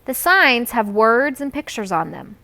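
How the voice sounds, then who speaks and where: A woman reads a children's book aloud. Her voice is bright and a little high, and her pitch moves in a lively, expressive way. She narrates as a storyteller would. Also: a little slow, gravelly. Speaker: read-aloud reader.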